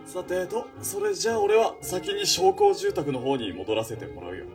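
Man acting breezy, very animated teasing friend. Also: sits high, normal speed, over music, full character voice. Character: easygoing, teasing male photographer friend. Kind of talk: Japanese audio drama acting.

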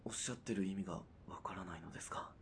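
A man's voice: sits low, racing, fairly bright tone, slight background noise. A man playing a principled, dutiful military police sergeant in a period radio drama, speaking formally, with earnest restraint. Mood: uneasy, restrained.

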